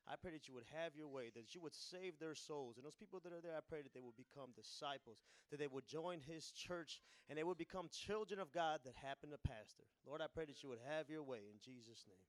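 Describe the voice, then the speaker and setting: A man's voice: on the high side, brisk, hardly any pauses, no echo. Man praying earnestly aloud. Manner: earnest, repetitive prayer phrasing. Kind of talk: spoken prayer.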